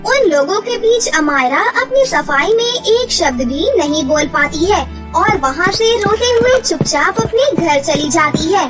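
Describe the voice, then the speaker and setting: A woman's voice: very high-pitched, animated, a little slow, few pauses. A woman narrating a children's story in a dramatic, scripted storytelling style. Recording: music under the voice. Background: background music.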